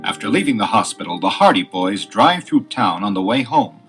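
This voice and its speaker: A man's voice: lowish voice, very animated, moderate pace, fairly bright tone, faint music behind. A man narrating a 1970s audio adventure with short scripted scene-setting lines.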